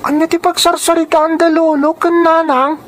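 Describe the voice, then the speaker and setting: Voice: very high-pitched, level tone, normal speed. An actor voicing a curious, insistent young grandson in an Ilocano radio drama, with an Ilocano accent. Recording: music under the voice.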